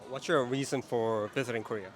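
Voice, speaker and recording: A man's voice: medium pitch, steady tone, brisk, noisy background. Upbeat man interviewing on the street. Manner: brief, upbeat prompts. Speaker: street interviewer, channel host. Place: outdoors on a busy city street.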